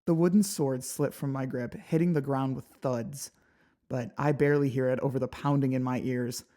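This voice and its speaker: A woman narrating first-person fiction as a dramatic, expressive audiobook reader. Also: rumbling low, moderate pace. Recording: background music behind the voice.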